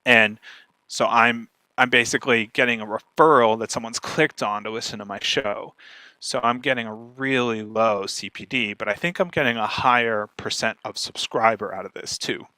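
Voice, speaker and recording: Guy explaining stuff as a guest, bright punchy voice, really dry sound, chatty and animated. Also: medium pitch, normal speed, no room sound. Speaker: guest speaker, podcaster and marketer. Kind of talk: conversational talk on podcast marketing.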